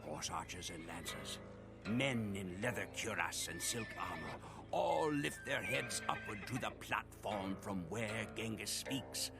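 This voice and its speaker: A grave Mongol chronicler of war in a game cutscene. His voice is low and gravelly, solemn and measured, with music playing behind it. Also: animated. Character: grave Mongol follower narrating the Khan's conquests. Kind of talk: scripted dramatic narration.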